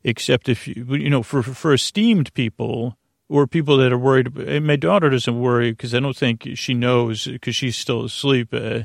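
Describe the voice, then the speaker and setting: Middle-aged man, creaky voice, halting bedtime rambler, self-deprecating, close dry recording. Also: medium pitch, no room sound. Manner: halting, meandering, with stammered restarts and trailing tangents; self-deprecating, gently absurd humour. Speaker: podcast host. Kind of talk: rambling bedtime sleep-podcast monologue.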